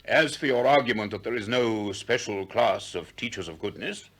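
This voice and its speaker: An actor playing a self-assured, eloquent ancient Greek teacher in a 1960s radio drama, with an expansive lecturing manner. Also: male voice, on the low side, normal speed, a bit bright, breathy edge.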